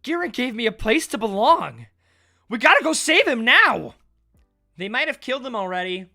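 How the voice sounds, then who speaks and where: Man playing a hot-headed manga villain, high urgent pitch, loud, bright, loyal gang member. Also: sing-song, moderate pace, vocal fry, soft background music, full character voice. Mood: urgent, fired-up. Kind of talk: dramatic manga read-aloud.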